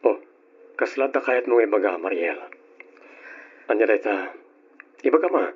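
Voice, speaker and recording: An actor playing a devoted but hesitant boyfriend, gentle and apologetic, in an old Ilocano radio drama with an Ilocano accent. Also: male voice, lowish voice, expressive, normal speed, lo-fi sound, lightly acted. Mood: uneasy, apologetic.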